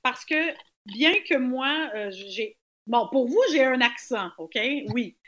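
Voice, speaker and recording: A woman from Quebec with a Québécois French accent, a guest talking in an interview, lively and expressive. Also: on the high side, slow pace. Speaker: guest performer. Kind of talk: radio interview.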